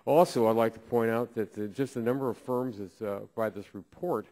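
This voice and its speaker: A man from Ohio speaking as a congressman at a committee hearing, halting often with filler pauses, at a desk microphone in a hearing room. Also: mid-range voice, dark tone.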